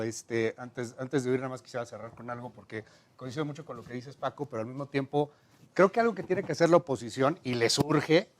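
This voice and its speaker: A Mexican man in his thirties, a radio host arguing on a political panel, emphatic and given to repeating himself. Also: fairly high pitch. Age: 30s.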